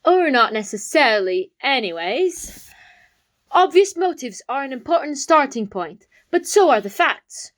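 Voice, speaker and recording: A woman playing a confident young detective in an amateur comedy whodunnit, explaining with dramatic pauses. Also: high-pitched, big pitch swings. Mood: confident, accusing. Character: confident young detective laying out a murder case.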